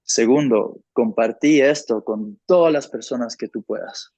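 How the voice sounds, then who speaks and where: A man's voice: medium pitch, unhurried, warm tone, dry recording. A man working as a consecutive interpreter on an online group call. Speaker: interpreter between English and Spanish. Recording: dry, dull lo-fi call audio.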